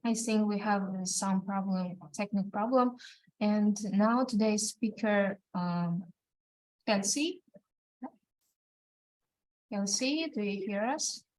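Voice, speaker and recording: A woman's voice: mid-range voice, slow pace, long pauses, darkish tone, poor audio. A woman from Kazakhstan hosts an online teaching webinar, speaking hesitantly with many fillers and restarts. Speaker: event moderator.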